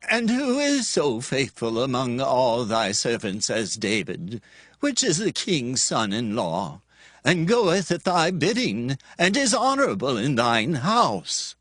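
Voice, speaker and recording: A man's voice: medium pitch, sing-song, takes it slowly, fairly bright tone, no room sound, clean studio sound, full character voice. An actor playing a humble, loyal priest in a dramatized audio Bible, pleading deferentially with his king.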